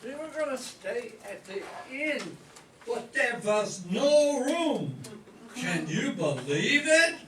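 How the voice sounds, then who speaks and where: Comic radio play: a woman as a folksy, clueless new grandpa, leisurely and lively in pitch, off-mic. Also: slow pace, heavily acted. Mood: oblivious. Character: Mary's genial, clueless old father, new grandfather. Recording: distant echoey room, some noise.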